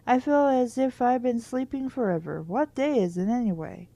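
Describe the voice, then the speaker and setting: A woman's voice: a little high, moderate pace, gravelly, dark tone, no echo. A woman reading the part of a twelve-year-old girl in a spooky storybook who talks to herself and wonders aloud. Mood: bored, puzzled. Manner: muttering to herself.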